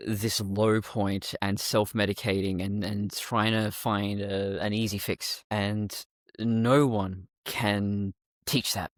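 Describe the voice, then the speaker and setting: A woman with a deeper voice, a guest talking bluntly and sardonically, swearing freely. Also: no echo, studio quality. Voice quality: deeper voice after testosterone. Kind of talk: candid interview talk.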